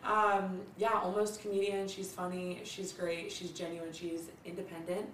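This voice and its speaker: A woman hosting a podcast, excitable and chatty, who laughs often and keeps saying "literally". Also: lowish voice, moderate pace, slight background noise. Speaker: podcast host. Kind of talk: casual podcast interview. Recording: roomy, somewhat distant mic.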